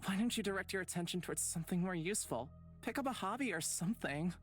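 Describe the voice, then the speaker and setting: A sensible, unamused young woman from a visual novel, voiced by an actress. She speaks fairly low, firm and blunt, with faint music in the background. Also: lively intonation, fast talker, lightly acted. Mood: stern.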